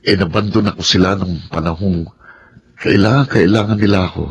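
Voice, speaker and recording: Over faint background noise, a humble Filipino husband with a fairly low voice. He is a guilt-ridden, hardworking father in a radio drama, speaking earnestly and apologetically, always respectful. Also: fairly even intonation, fairly quick, dull audio. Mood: remorseful.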